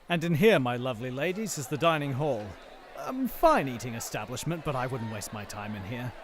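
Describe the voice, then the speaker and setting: Man acting a lively, chatty young guide. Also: mid-range voice, fairly quick, natural voice. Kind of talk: amateur audio drama acting.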